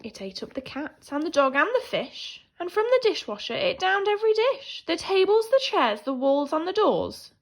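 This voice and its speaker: A woman teacher reading a children's picture book aloud in an animated, theatrical way, swinging between hushed and emphatic delivery. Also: very high voice, moderate pace, hardly any pauses. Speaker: primary school teacher reading a story.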